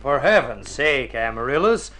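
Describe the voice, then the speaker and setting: An actor playing an exasperated, grumbling man of the household in a radio drama, brisk and emphatic. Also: medium pitch, very animated, fairly bright tone. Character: exasperated, grumbling male household companion at the table. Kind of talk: Golden Age radio drama acting.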